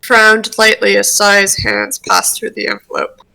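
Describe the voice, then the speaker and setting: A woman's voice: medium pitch, monotone, a little slow, gravelly, bright, forceful tone, poor audio. A woman reading scripted roleplay narration aloud in an informal way.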